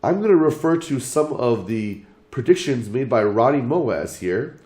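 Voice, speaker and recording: A man who is losing his voice after days of being sick talks through his market outlook, numbering his points. His voice sits at an ordinary pitch and sounds dark and mellow. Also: animated. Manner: measured, lays out points in order. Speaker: crypto channel host.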